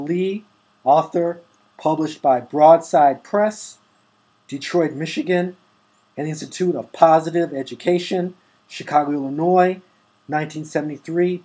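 A man hosting his own online channel, reading a book passage aloud to his webcam in a measured, deliberate way at home. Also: on the high side, darkish tone, slightly rough. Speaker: YouTube host reading from a book. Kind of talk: slow, deliberate read-aloud to camera. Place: indoors at home, holding a book to the camera.

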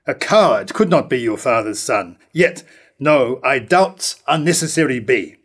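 A man's voice: mid-range voice, animated, unhurried, husky. An older man playing a proud, defiant nobleman in a staged reading of early verse drama. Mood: defiant, reassuring. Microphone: stand mic at lectern.

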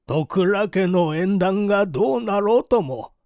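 A man voicing a penitent old man in his sixties, halting and solemn, with old-fashioned formal speech, in an audiobook drama. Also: sits high, lively intonation, a little mellow, no room sound, clean studio sound. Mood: resigned, resolute. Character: anguished, penitent old man over sixty, doting father.

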